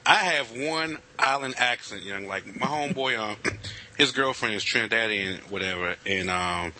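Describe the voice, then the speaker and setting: A man guesting on a podcast, joking in slangy, animated banter. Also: on the low side, moderate pace, slight background noise, poor audio.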